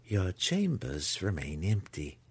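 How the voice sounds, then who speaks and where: Man putting on a slow, imperious dark elf matron. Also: low-pitched, huge pitch swings, vocal fry, husky, full character voice. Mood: cold, commanding. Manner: slow, deliberate, commanding.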